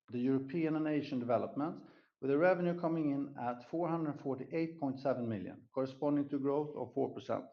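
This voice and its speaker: A man's voice: fairly high pitch, dull audio. A man presenting company results as an executive on an earnings call, reading prepared remarks in a formal way. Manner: formal, scripted delivery. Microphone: conference call line.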